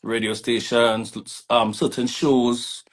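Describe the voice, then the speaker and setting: A man's voice: medium pitch, flat delivery, slow pace. A man from Trinidad and Tobago with a Trinidadian accent, an artist manager telling his story in a laid-back, easygoing way. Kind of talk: casual interview chat.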